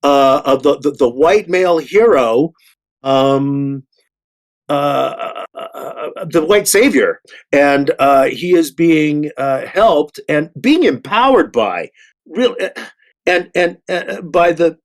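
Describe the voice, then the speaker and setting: A man on a discussion panel, making his argument haltingly, repeating words and stressing the key ones. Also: on the high side, lively intonation. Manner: halting and repetitive, yet emphatic on key words.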